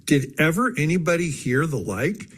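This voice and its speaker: A man's voice: on the high side, sing-song, moderate pace, fairly bright tone, husky, full character voice. A man voicing a peremptory, insistent know-it-all lady.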